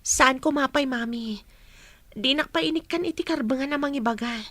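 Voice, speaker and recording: A woman's voice: high voice, normal speed, sharp tone, no room sound. A woman playing a respectful, earnest young daughter in an Ilocano radio drama. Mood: questioning.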